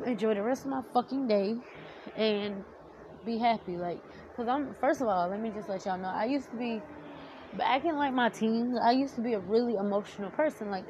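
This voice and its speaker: A woman's voice: on the high side, muffled recording. A young woman with a slightly nasal, teary-sounding voice, ranting heatedly to camera with blunt, heavy swearing. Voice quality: nasal, like about to cry. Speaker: vlogger. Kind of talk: storytime rant to camera. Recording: noticeable background noise.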